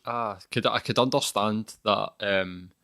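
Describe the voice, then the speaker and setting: Male podcast host, lowish and bright, dry close mic, easygoing and halting. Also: dry recording. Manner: hesitant, frequent "um" and "sort of". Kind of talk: casual interview hosting.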